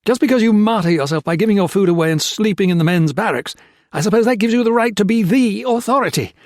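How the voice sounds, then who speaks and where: A man reading a story voices a young officer who rants and shouts in hysterical fury. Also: sits high, lively intonation, quick pace, no echo, clean studio sound, pitched above the performer's own voice. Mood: raging. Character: young British officer, feverish, furious, ranting.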